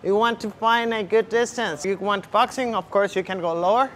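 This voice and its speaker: The high-voiced young man you'd hear coaching striking drills on a gym floor, with some room noise around him. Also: normal speed, a bit bright. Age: young adult. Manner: demonstrating punches while talking. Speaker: kickboxing coach. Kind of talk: instructional demonstration with short cues. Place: large indoor MMA gym. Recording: clearly audible background ambience noise.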